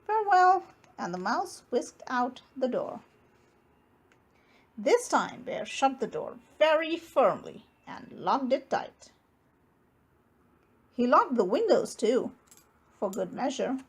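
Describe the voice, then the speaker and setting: A woman's voice: a little high, sing-song, takes it slowly, long pauses, breathy edge. A woman narrating a children's story aloud, expressively, with dramatic emphasis on key words. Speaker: storybook reader.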